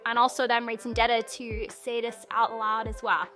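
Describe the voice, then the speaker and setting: A woman's voice: on the high side, flat delivery, normal speed, bright, forceful tone, faint music behind. A woman reviewing a website in a casual screen-recorded walkthrough, chatty and thinking aloud as she clicks through menus.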